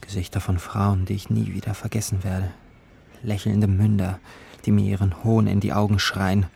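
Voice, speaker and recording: An actor playing a bitter, dreamy poor youth, narrating in a brooding, intimate, gravelly way in a literary radio drama. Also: male voice, on the low side, level tone, normal speed, darkish tone, a little hiss or hum. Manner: brooding, intimate, sometimes whispering.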